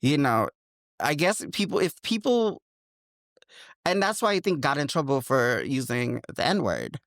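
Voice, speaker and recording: A woman from New York with a thick New York accent, chatting as a podcast guest and telling animated stories. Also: unhurried, no echo, studio quality. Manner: animated storyteller, big pitch swings, frequent likes. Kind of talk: casual chat.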